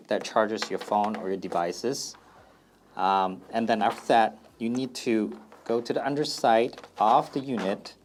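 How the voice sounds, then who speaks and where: A man's voice: on the low side, darkish tone, slight background noise. A man presenting a product FAQ as a company presenter, explaining things plainly and step by step in a casual demonstration style.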